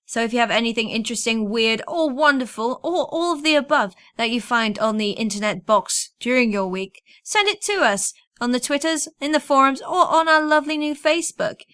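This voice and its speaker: A woman's voice: on the high side, a little fast, few pauses, a bit bright, dry recording, studio quality. A woman hosting a podcast in casual chat, with an informal, wry manner. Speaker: podcast host.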